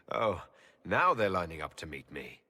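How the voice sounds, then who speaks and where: Casting: young elf leader in a fantasy game, plain-spoken and questioning, lowish husky voice. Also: male voice, sing-song, unhurried, sharp tone, no echo, soft background music, heavily acted. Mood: wry. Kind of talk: dramatic video game dialogue.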